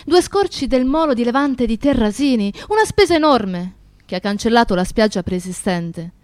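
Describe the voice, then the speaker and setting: A woman's voice: fairly high pitch, expressive, normal speed, darkish tone, no room sound, natural voice. A woman playing a comrade who bears witness in a radio drama, in a declamatory, incantatory storytelling manner. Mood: accusing. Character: woman comrade bearing witness, tender yet accusing storyteller. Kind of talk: dramatic radio theatre narration.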